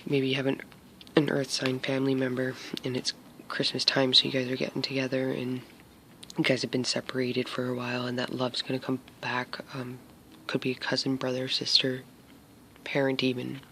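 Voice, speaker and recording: Gravelly, whispery male tarot reader. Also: mid-range voice, completely flat delivery, moderate pace, fairly bright tone, slight background noise. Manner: hesitant, many fillers, often drops to a whisper. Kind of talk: casual tarot reading for viewers.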